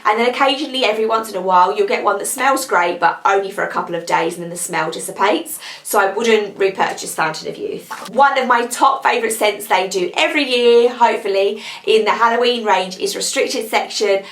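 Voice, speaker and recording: A young British woman with a croaky voice from a cold, chatting casually as she reviews beauty products she has used up. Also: mid-range voice, a little fast, a bit bright, echoey room, poor audio. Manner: chatty, rambling, upbeat. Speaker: beauty vlogger.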